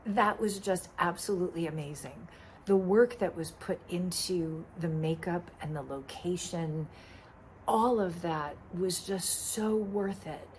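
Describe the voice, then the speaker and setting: A woman with a fairly low voice gives effusive audience feedback, stressing her praise firmly. The recording carries faint background noise. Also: a little mellow, low-quality recording. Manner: effusive, emphatic. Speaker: film festival audience member. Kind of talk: spoken film review.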